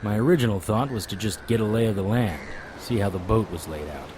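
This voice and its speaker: A man's voice: on the low side, fairly quick. A man narrating an audio drama in the first person as a sardonic, world-weary vampire hunter, in a hard-boiled style. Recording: audible background noise.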